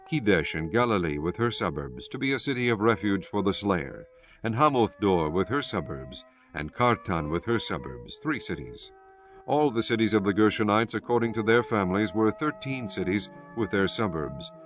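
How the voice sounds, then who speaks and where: A man narrating scripture for an audiobook in a measured, solemn way. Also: fairly low pitch.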